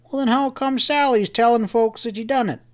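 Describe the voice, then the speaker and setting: A man voicing a stubborn, excitable cowboy in a Western audiobook, with a harsh rasp and a folksy drawl. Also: very high-pitched, moderate pace, pitched above the performer's own voice. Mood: insistent. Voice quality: harsh, rasping. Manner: rustic Western drawl, folksy bad grammar. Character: gruff cowboy friend Sam, stubborn, excitable, fiercely loyal.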